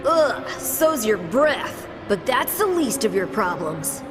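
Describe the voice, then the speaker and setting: A preteen boy hero in a cartoon game. The voice is high, brash and cocky, full of sarcastic wisecracks, with music running behind it. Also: big pitch swings, slow. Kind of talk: animated game acting. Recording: music under the voice.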